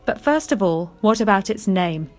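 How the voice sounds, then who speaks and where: A woman of medium pitch hosts a programme, introducing her guests and asking questions with curiosity. Soft music plays faintly under her voice. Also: expressive, moderate pace. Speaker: radio programme presenter. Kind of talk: presenting and interviewing.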